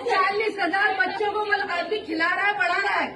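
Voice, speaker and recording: Very high-pitched scornful woman, far off. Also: steady tone, fairly quick. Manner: scornful, berating. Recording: distant, echoey noisy hall with crowd.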